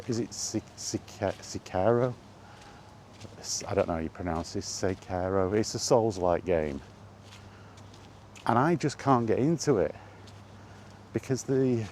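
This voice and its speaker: A man chatting casually in a rambling solo monologue, halting and musing, often repeating his phrases, recorded outdoors. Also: fairly low pitch, darkish tone, slight background noise. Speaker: host of a gaming chat series. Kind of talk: casual rambling monologue about video games.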